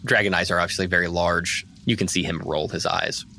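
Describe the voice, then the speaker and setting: A man's voice: deep voice, steady tone, brisk, sharp tone, slight background noise. A man running a tabletop roleplaying game as game master, switching between casual table talk and narration.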